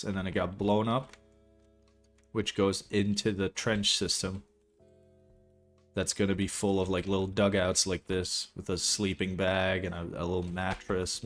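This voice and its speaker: A young man from Belgium whose first language is not English, chatting casually and rambling, swearing now and then, as he walks viewers through a map he is building. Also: low-pitched. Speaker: streamer building a game map. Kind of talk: casual livestream commentary.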